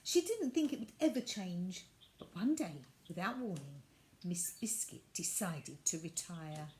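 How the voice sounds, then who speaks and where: A woman reading a children's storybook aloud as an expressive narrator. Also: lowish voice, measured pace. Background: added sound effects and faint noise.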